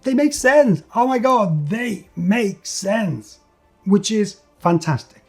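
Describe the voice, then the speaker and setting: A man's voice: high voice, expressive, slow pace, faint music behind. A man giving an enthusiastic, unscripted game review, emphatic and repeating words for stress.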